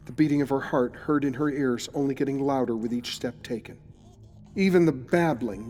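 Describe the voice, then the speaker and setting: A man's voice: medium pitch, darkish tone. A man narrating a scripted audio horror drama in a suspenseful, dramatic storytelling manner.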